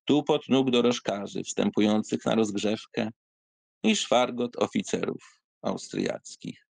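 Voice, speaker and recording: Lively gravelly male essay reader. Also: mid-range voice, dry recording. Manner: lively, theatrical, with wry asides and sighs. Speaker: audiobook reader. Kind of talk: expressive reading of a literary essay.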